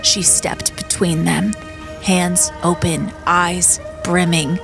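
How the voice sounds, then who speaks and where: A woman narrating a dramatic audio story in a solemn, measured way with weighty pauses. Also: lowish voice, fairly even intonation, creaky. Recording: narration under loud dramatic music. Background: loud music.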